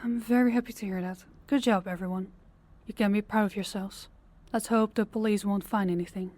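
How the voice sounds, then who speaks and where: A woman playing a composed, stern female crime boss in an audio drama, speaking deliberately and with authority. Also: mid-range voice, steady tone, dark tone, close to an everyday voice. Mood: composed, approving. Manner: authoritative, deliberate, clipped orders. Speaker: voice actor. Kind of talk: audio roleplay drama acting.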